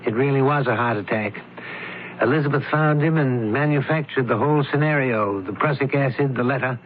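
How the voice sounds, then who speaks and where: An actor playing a shrewd, candid doctor and sleuth in an old-time radio drama, speaking in a measured, precise way. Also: male voice, medium pitch, lightly acted. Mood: candid, grave. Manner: measured, precise, deliberate explaining. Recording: tinny, noisy radio drama recording.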